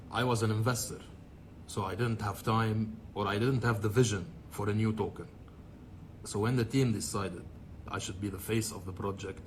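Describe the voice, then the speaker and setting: A man from Lebanon with a Lebanese accent, giving a prepared, deliberate video statement as a project president. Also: fairly low pitch, a little hiss or hum. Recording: played from a video.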